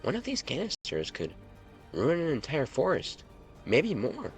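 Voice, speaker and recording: Casting: intense young female investigator acted by a deep-voiced male storyteller, urgent, lifted well above the narration, gravelly edge. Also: wildly swooping pitch, a little slow, faint music behind, heavily acted. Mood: alarmed. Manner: urgent, emphatic. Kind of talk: story character dialogue.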